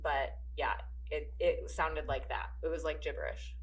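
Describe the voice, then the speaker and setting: An American woman co-hosting a podcast in a casual, animated, giggly storytelling chat. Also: deep voice, unhurried, a little hiss or hum, rough recording. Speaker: podcast co-host.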